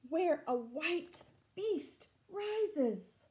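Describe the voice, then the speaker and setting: A middle-aged woman reading a picture book aloud to children, dramatic and deliberate, adding asides and questions for her young listeners. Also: extremely high pitch, lively intonation, dark tone. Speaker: library storytime reader. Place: small room indoors. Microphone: camera a short distance away.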